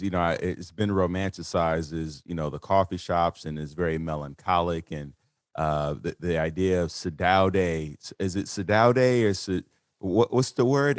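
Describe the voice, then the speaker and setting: A man hosting an interview, asking reflective questions with halting, stuttering starts and many fillers. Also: low voice, smooth, dry recording, clean studio sound. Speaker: podcast host.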